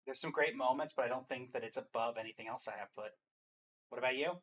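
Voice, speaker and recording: A man's voice: mid-range voice, fairly even intonation, fast, a bit bright. A man hosting a reaction show, chatting casually as he reacts and ranks. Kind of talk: casual reaction chat and ranking.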